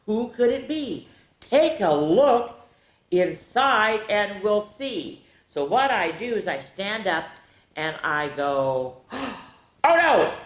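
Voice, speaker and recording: A woman hosting a children's storytime, reading picture books aloud in a playful, theatrical way with casual asides and drawn-out words. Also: medium pitch, big pitch swings. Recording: echoey, roomy sound.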